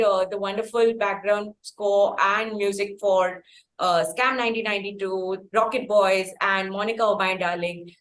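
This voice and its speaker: A woman with an Indian accent hosting a conversational interview, speaking in an effusive, wordy way. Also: fairly high pitch. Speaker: interviewer, host.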